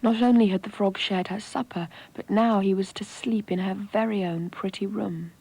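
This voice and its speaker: A woman narrating a classic fairy tale in a hushed, near-whispered voice. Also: medium pitch, steady tone, moderate pace, gravelly, husky, rough recording. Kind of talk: storybook reading.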